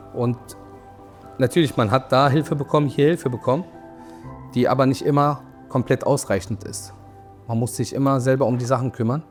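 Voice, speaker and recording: Reflective young man, soft music behind. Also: mid-range voice. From Syria. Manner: reflective, with fillers and restarts. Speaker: shop owner and referee. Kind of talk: documentary interview.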